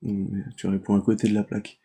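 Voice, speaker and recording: A man on the line in a live debate, hesitant and halting, stumbling over his words. His voice is low and dark with a husky edge. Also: monotone. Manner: hesitant, halting, many fillers. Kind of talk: hesitant argumentative chat.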